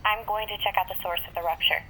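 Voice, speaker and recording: A woman's voice: medium pitch, steady tone, fast, pushed and bright, slight background noise, lightly acted, low-quality recording. An actress in an audio drama playing a commanding, focused female warrior.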